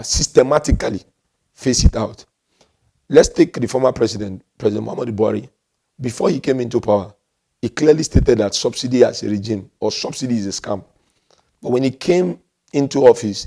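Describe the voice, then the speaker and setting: A man with a Nigerian accent speaks as a political and economic commentator. His voice is mellow and relaxed in tone. He lays out his points in a measured, emphatic way, often restating a phrase to stress it. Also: lowish voice.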